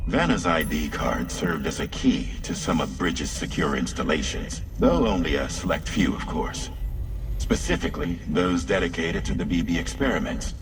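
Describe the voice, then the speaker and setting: A man playing a grave, formal officer giving a briefing in a video game, in a measured, deliberate manner. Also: low-pitched, expressive. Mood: probing. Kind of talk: video game cutscene dialogue. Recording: music under the voice.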